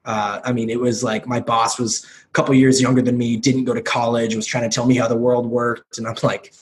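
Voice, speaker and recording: A man in his thirties from the United States, a podcast guest telling his life story in a casual, energetic, self-deprecating way, swearing freely. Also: medium pitch, brisk, slight background noise. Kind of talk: casual chat.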